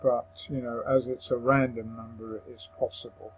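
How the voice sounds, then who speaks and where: A man presenting a software tutorial, explaining step by step in a hesitant way. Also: medium pitch, level tone, normal speed, slight background noise, poor audio. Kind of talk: software screen-recording walkthrough.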